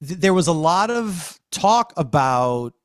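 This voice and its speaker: A man hosting a podcast, talking through analysis conversationally with deliberate, halting phrasing. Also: on the high side, very animated, no room sound, clean studio sound. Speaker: podcast host.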